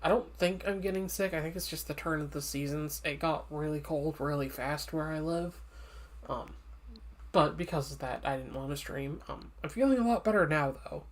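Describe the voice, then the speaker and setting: Low-voiced woman, slightly sniffly streamer. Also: moderate pace. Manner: chatty, frequent ums and uhs, candid opinions. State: congested, a little sniffly. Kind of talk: casual gameplay commentary.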